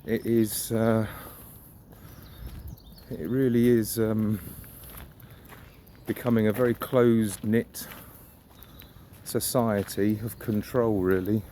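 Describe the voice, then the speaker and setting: A man's voice: lowish voice, fairly even intonation, takes it slowly, long pauses, dark tone. A man talking casually and musingly as he walks outdoors, opinionated and mildly sweary. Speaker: vlogger. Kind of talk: casual rambling walk-and-talk monologue. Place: outdoors, walking suburban riverside streets. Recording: outdoor background noise. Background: outdoor ambience.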